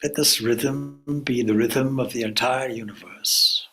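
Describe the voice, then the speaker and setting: A man leading a guided meditation as a spiritual teacher, speaking calmly and deliberately in short phrases with pauses. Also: mid-range voice.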